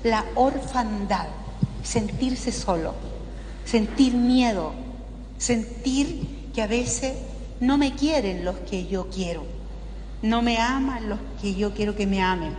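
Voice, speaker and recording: A woman's voice: fairly high pitch, slow pace, a little mellow. Older Chilean woman preaching in an echoey hall. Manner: conversational, checking in with frequent tag questions. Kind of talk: informal spoken sermon to a live audience. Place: large indoor parish hall. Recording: echoey hall with clear background noise.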